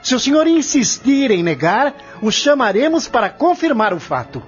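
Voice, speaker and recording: A man's voice: very high-pitched, sing-song, moderate pace. A man playing a confident, relentless lawyer in an audio drama, arguing in a formal, articulate, declamatory way. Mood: pressing, stern. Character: confident prosecuting lawyer, relentless, methodical, formal courtroom orator. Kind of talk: audio drama acting, courtroom scene. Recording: dull lo-fi audio with music underneath.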